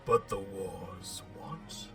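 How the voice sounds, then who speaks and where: A man's voice: fairly low pitch, wildly swooping pitch, drawn-out words, distant mic, music in the background, heavily acted. A man voicing an eloquent, pompous robot warlord who speaks with theatrical, polite menace in a dramatic comic book reading. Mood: solemn, zealous.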